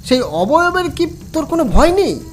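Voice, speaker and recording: A man's voice: very high voice, big pitch swings, normal speed, voice pushed up. A man voicing a nervous, suspicious cremation-ground worker who stammers out questions in a horror audio story.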